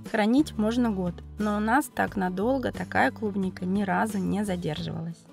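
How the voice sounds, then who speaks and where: A woman with a cold, narrating a cooking video as its host, explaining each step calmly and methodically. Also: mid-range voice, creaky. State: has a cold, voice affected. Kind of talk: calm recipe voice-over narration.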